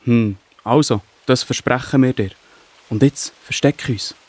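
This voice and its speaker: A man's voice: medium pitch, measured pace, a little mellow, husky. A man with a Swiss German accent playing an earnest, devout spy in a children's Bible audio drama, speaking plainly. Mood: earnest, grateful. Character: earnest, devout male spy serving God's people.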